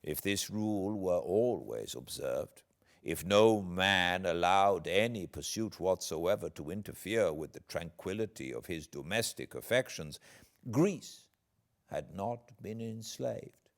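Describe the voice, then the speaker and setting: A British man, an audiobook narrator, reading classic literature aloud in a measured, expressive, dramatic way. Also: low voice. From Britain.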